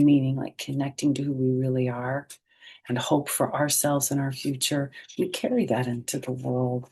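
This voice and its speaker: Female storytime host, middle-aged, somewhat husky, fairly low-key pitch movement, gentle read-aloud with friendly explanations for kids. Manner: gentle, expressive, addressing young listeners directly. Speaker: storytime host reading a picture book.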